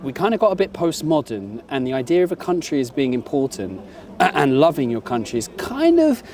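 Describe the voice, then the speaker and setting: Fast-talking man, slightly off-mic. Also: a little high, very animated, darkish tone, far from the mic. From United Kingdom. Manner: chatty, calls people mate. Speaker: interview host. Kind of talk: casual on-the-street interview. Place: outdoors at a street event.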